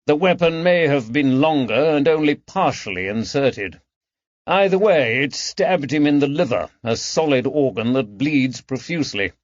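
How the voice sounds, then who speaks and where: Man acting a sardonic gentleman surgeon, dry-sounding. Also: mid-range voice, animated, moderate pace, dry recording, highs cut off, voice pushed up, close to an everyday voice. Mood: matter-of-fact. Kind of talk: audiobook character dialogue.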